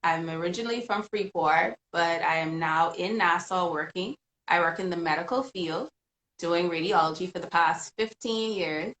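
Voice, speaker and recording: Casual woman guest, low-pitched, easygoing, echoey room sound. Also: moderate pace, fairly bright tone, slight background noise. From Bahamas. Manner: easygoing, laughs often. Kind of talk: casual live-stream chat.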